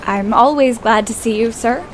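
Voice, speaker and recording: A woman acts a proud, emotional young woman in a period radio play. Her voice is high, her speech polite and hesitant, with faint noise behind it. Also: normal speed, lightly acted. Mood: reserved. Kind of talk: audio play acting.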